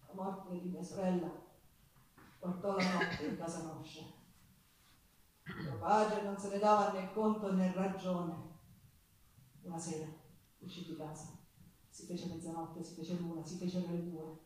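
A woman's voice: lowish voice, steady tone, a little slow, pauses often, a little mellow, slight background noise, close to an everyday voice. A woman playing a passionate working-class Sicilian who recalls her hard life in a dramatic, emphatic stage monologue with a Sicilian accent. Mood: grave, grieving. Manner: dramatic, emphatic storytelling with repetitions. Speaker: stage actress and singer in a monologue. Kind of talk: theatrical monologue with folk singing. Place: theatre stage with live guitar. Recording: echoey theatre, distant mic, guitar music.

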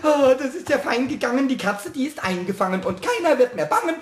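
A man playing a boastful, mischievous little kobold with a squeaky, childlike, piercing voice in a children's radio play. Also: a little fast. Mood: gleeful, triumphant. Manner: boastful, rhyming, excitable.

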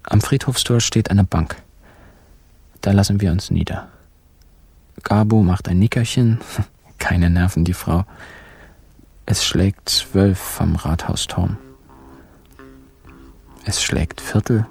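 An actor playing a sly, laconic narrator in a radio crime play, telling the story with wry, clipped phrasing. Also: male voice, low-pitched, slow, vocal fry, pauses often, a little mellow, breathy edge, soft background music, exaggerated voice. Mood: smug, amused. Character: wry, sly male narrator telling his own swindle.

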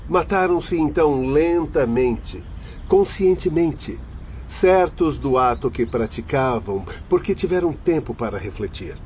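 A man acting in a radio drama as a grave doctor spirit with a Brazilian accent, solemn and measured as he condemns human vice. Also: a little high, expressive, darkish tone. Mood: reproachful, lamenting. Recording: muffled lo-fi audio with faint noise.

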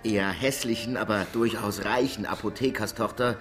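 Measured male detective played by an actor. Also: on the low side, fairly even intonation, over music, lightly acted. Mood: dry, wry. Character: dry, dutiful male police detective, the chief's deputy. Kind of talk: German radio crime drama acting.

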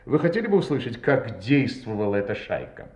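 An actor playing a courteous, methodical police inspector in an audio drama, speaking in a polite, deliberate and pointed way. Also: male voice, lowish voice, big pitch swings, a little mellow, reverberant. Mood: pointed, insistent. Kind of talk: audio drama acting, interrogation.

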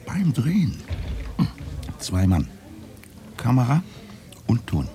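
An actor playing a gruff, wry local man who acts as a reporter's minder, talking in dry, casual mutters and emphatic protests in a naturalistic radio drama. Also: mid-range voice, wildly swooping pitch, takes it slowly, mellow, slight background noise. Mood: confiding.

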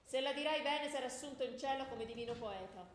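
A woman as a high-voiced theatrical Olympian deity. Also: quick pace, close to an everyday voice. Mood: grand. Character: Olympian deity, grand and curious, chatting with fellow gods. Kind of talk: comic radio theatre. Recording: echoey stage with faint noise.

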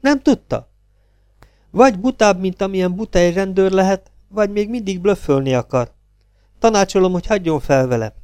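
A man doing a mocking, sharp-tongued young secretary. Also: high-pitched, animated, smooth, no room sound, clean studio sound, pitched above the performer's own voice. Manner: mocking, scornful.

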